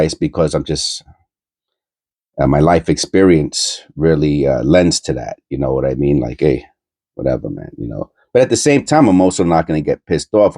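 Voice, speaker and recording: A man's voice: a bass voice, dark tone, no room sound, studio quality. A middle-aged man with a New York accent, nasal from a cold, rambling bluntly with many fillers as host of a coin channel. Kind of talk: rambling casual monologue.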